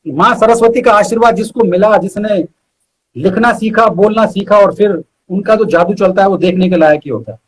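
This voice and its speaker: A man's voice: sits high, moderate pace, low-quality recording. A man, a poet being interviewed, speaking earnestly and reciting with emphatic repetition. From India.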